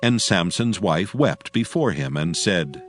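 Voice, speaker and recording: A man narrating scripture dramatically in archaic English, solemn and measured. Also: deep voice, animated, faint music behind.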